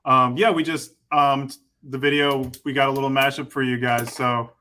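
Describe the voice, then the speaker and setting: A man with a bright, forward tone and a flat, even delivery introduces himself modestly on a video call. He sounds a little shy about being in front of the camera. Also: medium pitch, normal speed. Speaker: in-house videographer and editor. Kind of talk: casual team introduction.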